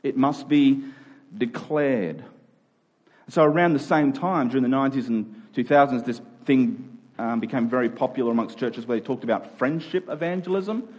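Husky-voiced man preaching conversationally. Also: medium pitch, normal speed, darkish tone, highs cut off. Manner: emphatic, informal, repeats key phrases. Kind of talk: conversational sermon.

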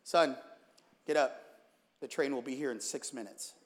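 A man voicing a calm, knowing old grandfather who gently insists and instructs. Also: medium pitch, normal speed, in a large hall, pitched below the performer's own voice, natural voice. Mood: quiet, knowing.

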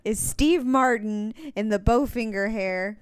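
A woman's voice: a little high, unhurried, clean tone, no room sound, studio quality. A woman co-hosting a podcast, trading casual banter and joking, sarcastic quips. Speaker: podcast co-host.

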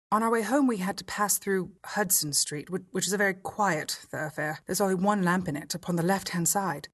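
A woman's voice: medium pitch, lightly acted. A woman voicing a timid but shrewd young lady earnestly recounting what she saw. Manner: earnest, confiding.

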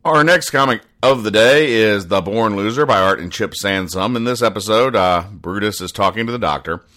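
A man with a deep, low-pitched voice hosts a comedy commentary show. He speaks in a deadpan, pedantic manner, haltingly spelling out every point in needless detail. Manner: deadpan, pedantic, over-explaining. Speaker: podcast host.

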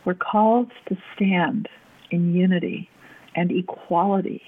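Husky-voiced woman preaching, tinny sound. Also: lowish voice, steady tone, unhurried. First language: German. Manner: earnest storytelling, repeats words for emphasis. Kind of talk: personal testimony sermon. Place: church, at a podium. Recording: tinny phone-like audio.

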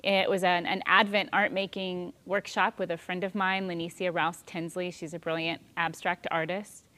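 A woman in her thirties giving a calm, thoughtful spiritual talk on prayer, with soft pauses. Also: on the low side.

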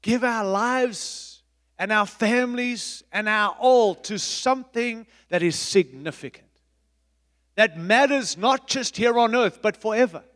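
A middle-aged man, a pastor, preaching a sermon in an emphatic, repetitive style with big swings in loudness. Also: sits high, unhurried, long reverb tail. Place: church.

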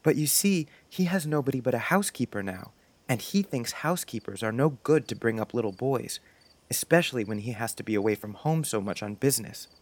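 A man's voice: mid-range voice, lively intonation, brisk, lightly acted. A man playing a sensitive, dreamy little schoolboy in a storybook, speaking in an earnest, wistful, confiding way.

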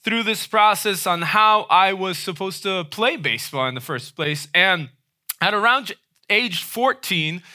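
A man preaching a sermon in a conversational way, often checking in with a quick "right?". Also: high-pitched, sharp tone. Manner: conversational, frequent "right?" tag questions.

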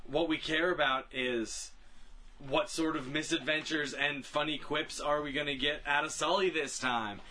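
A man's voice: on the high side, steady tone, normal speed, sharp tone, slight background noise, rough recording. A man co-hosting a podcast, talking casually and with opinions about films and games, emphatic and repeating phrases for stress. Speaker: podcast co-host.

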